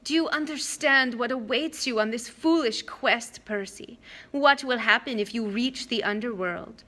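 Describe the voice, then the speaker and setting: A woman voicing a soothing old female monster who poses as a kindly grandmother, with a slow, purring, coaxing manner, in a storybook reading. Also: a little high, sharp tone, pitched above the performer's own voice.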